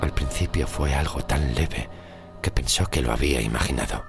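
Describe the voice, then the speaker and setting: Spanish guy reading a scary story, super deep crackly voice, breathy and suspenseful, music behind him. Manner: slow suspenseful delivery with short phrases and weighty pauses. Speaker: narrator of a horror audio story podcast. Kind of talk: scripted horror story narration.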